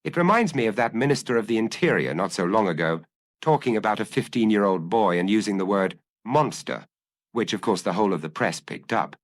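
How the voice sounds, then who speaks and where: A man's voice: on the low side, lively intonation, brisk, no echo. A man reading a detective story aloud as a scripted audiobook narration.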